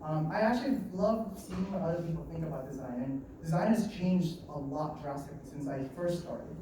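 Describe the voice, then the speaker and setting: A man in his late twenties giving a live talk at a design meetup. He talks fast and a bit nervously, with lots of fillers and restarts. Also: a little high, animated, warm tone. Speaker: guest speaker at a design meetup. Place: meeting room in an office. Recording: echoey room, distant mic, background noise.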